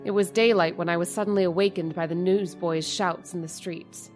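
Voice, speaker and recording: A woman's voice: on the low side, fairly even intonation, a little fast, a little mellow, soft background music, close to an everyday voice. Woman acts a nervous landlady for a radio play: hesitant and stammering, telling her story. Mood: reflective narration. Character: timid middle-aged landlady. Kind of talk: old-time radio drama acting and narration.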